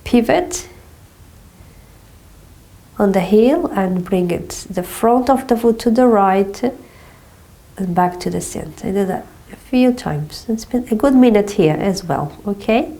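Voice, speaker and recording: A woman with a blocked nose from a cold, calmly guiding a movement exercise step by step. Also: medium pitch. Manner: slow, calm step-by-step guidance with frequent "okay". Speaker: movement teacher. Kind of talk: guided exercise instruction.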